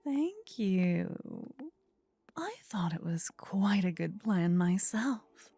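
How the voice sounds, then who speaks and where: Woman acting a breathy, whispering demon queen. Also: fairly low pitch, dark tone, no room sound, full character voice. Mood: frustrated, scheming. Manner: measured, formal, regal. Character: possessive warlike demon queen, devoted to her champion. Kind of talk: intimate ASMR roleplay acting.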